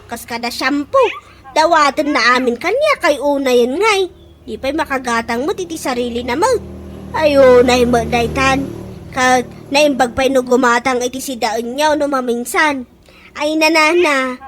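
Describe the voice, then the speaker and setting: A woman's voice: sits high, fairly bright tone, slight background noise. A woman with an Ilocano accent playing an exasperated boarding-house resident who grumbles about a freeloading friend in a comedic radio drama. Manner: grumbling, complaining, exclamatory.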